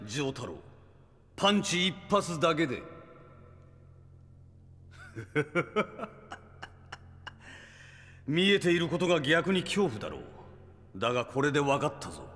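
Arrogant sadistic anime villain, slow pompous gloating delivery, drenched in reverb. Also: male voice, a little high, fairly bright tone, exaggerated voice. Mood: taunting, triumphant. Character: arrogant, sadistic male villain who stops time.

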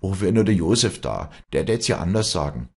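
A man's voice: sits low, sing-song, fairly quick, vocal fry, breathy edge, pitched above the performer's own voice, exaggerated voice. A man voicing a good-natured, protective village innkeeper woman who speaks soothingly and plainly in Alpine Bavarian dialect. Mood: comforting.

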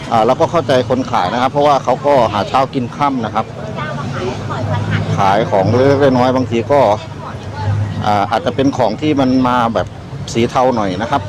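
A man giving casual, apologetic walking commentary as a vlogger, recorded outdoors in a busy street market. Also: medium pitch. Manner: polite, apologetic. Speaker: vlogger hosting a market walk. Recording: noisy street, loud crowd and traffic.

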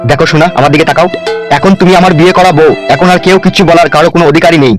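A man's voice: on the high side, fairly even intonation, racing, close to an everyday voice. A man playing a brash politician in a comedy cartoon who coaxes his new bride with wheedling, boastful, crude talk. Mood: coaxing. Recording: music behind.

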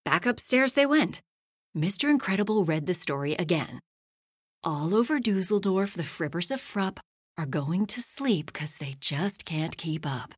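Woman narrator for a kids' storybook read-along: unhurried, very expressive, dry close sound. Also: lowish voice, slightly rough, no room sound. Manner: slow, lively storytelling, hushed at bedtime lines and loud in action. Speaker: storybook reader. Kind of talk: read-aloud children's bedtime story.

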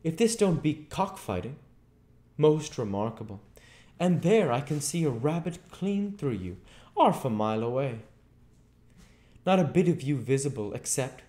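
A man's voice: mid-range voice, big pitch swings, unhurried, mellow, voice pushed up. A man voicing a flabbergasted, easily frightened tramp with a blustering, slangy rustic manner, from a storybook. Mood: amazed. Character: flabbergasted, frightened, cringing tramp Mister Marvel.

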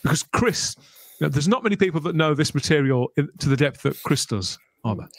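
Higher-voiced male host, dry close mic. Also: animated, a little fast, no echo. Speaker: podcast host. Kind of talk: casual interview. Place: small home room, online video call. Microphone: close desk microphone on a boom arm.

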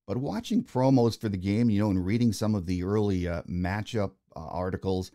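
A man's voice: on the low side, moderate pace, mellow. An American man hosting a sports podcast, talking to guests and listeners. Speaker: podcast host. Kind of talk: sports podcast interviewing and sign-off.

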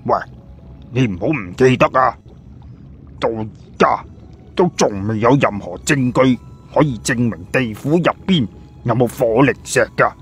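Audiobook sidekick, played by a male reader: male, heavily husky, fretful, nagging, full of doubting questions. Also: mid-range voice, unhurried. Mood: nagging, worried. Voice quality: husky, rough. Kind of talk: audiobook character dialogue.

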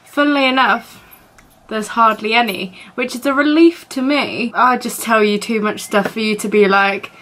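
A woman's voice: fairly high pitch, fairly bright tone. Chatty, giggly young woman vlogger. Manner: chatty, giggly, rambling with many fillers. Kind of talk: casual chat to camera. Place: small bedroom indoors.

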